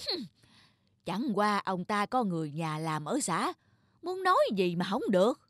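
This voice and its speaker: A man's voice: high-pitched, very animated, unhurried, a bit bright, dry recording, clean studio sound, heavily acted. A man voicing a spiteful, quarrelsome older male neighbor in a cartoon, with a scornful, snapping manner. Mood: resentful. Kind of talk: cartoon voice acting.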